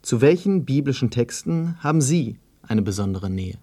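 Man, radio interviewer, average-pitched voice, scripted and formal delivery, measured and matter-of-fact. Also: expressive, mellow. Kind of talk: scripted narration and questions.

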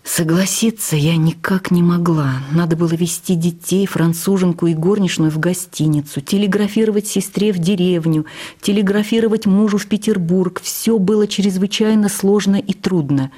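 A woman reading a memoir for radio as expressive, intimate literary narration. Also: fairly low pitch, normal speed, husky.

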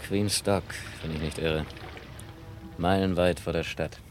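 An actor playing a composed, dogged investigator in a German crime radio play, calm, probing and dryly polite. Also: male voice, low-pitched, unhurried, over music. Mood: subdued, probing. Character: composed, dogged male investigator, quietly ironic. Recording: vintage radio play with background noise.

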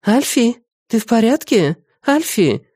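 A man doing a sing-song, breathy woman officer. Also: extremely high pitch, sing-song, measured pace, darkish tone, no echo, studio quality, voice pushed up, exaggerated voice. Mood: calling.

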